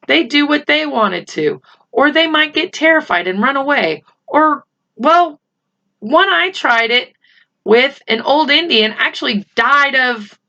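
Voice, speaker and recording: A worried young boy, read by a woman, a little raised in pitch. He explains earnestly and haltingly in a storybook manner, on a slightly muffled recording. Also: lively intonation, gravelly, pushed and bright, heavily acted. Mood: earnest, explaining. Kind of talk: children's storybook character voice.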